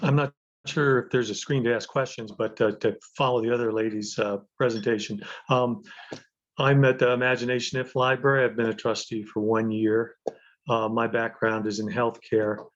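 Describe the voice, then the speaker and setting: A man's voice: medium pitch, level tone, moderate pace. An older man from the US East Coast with an American accent, introducing himself informally over dull video call audio. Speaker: library trustee, retired physician. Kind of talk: informal self-introduction in an online meeting.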